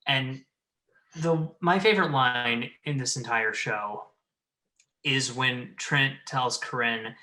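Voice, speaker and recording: Male panelist, mid-pitched and bright, earnest, emphatic, conversational. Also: poor audio. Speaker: show panelist. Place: remote video call.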